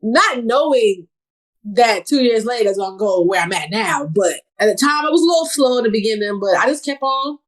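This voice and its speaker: A woman from New York City, a guest on a casual podcast, chatting in a relaxed way and laughing easily. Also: fairly high pitch, fairly quick. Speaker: podcast guest, social media creator.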